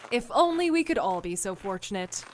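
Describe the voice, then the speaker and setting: A woman's voice: mid-range voice, big pitch swings, fairly quick, slight background noise, natural voice. A woman voicing a poised female small-town mayor who speaks in a formal, polished way and calls people dear. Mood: composed. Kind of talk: voiced game dialogue.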